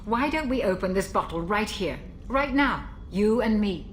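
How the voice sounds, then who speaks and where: A woman playing a seasoned, caring military doctor in a video game, with a mature, measured voice and a wry, reflective way of talking. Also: mid-range voice, expressive, a little hiss or hum, lightly acted. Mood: pleased, warm. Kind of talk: video game cutscene acting.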